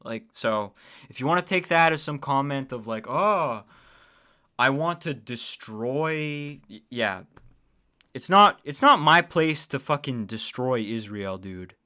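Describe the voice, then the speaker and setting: Guy streamer w bright punchy voice, blunt sweary hot takes, casual. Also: medium pitch, lively intonation, slow. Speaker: livestreamer reacting to a video. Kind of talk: casual opinionated livestream commentary.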